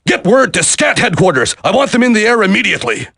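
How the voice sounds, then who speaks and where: A man playing an irascible middle-aged government secretary in a video game, raspy and curt, barking orders. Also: high voice, big pitch swings, quick pace, sharp tone, no echo, studio quality, heavily acted. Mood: commanding. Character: irascible senior government secretary, commanding and blustering.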